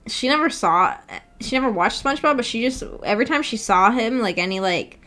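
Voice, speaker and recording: A woman's voice: fairly high pitch, lively intonation, brisk, a bit bright. A woman hosting a livestream, chatting casually and reading out viewer comments in a giggly, chatty way. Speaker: streamer and host.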